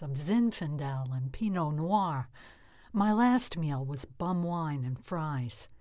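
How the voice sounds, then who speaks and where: A woman reading a short story in the first person as a weathered, frightened, bitter psychiatric patient in custody, with emotive delivery that turns hushed. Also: low-pitched, no room sound, studio quality, full character voice. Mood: dulled, weary. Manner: emotive, hushed at fearful moments.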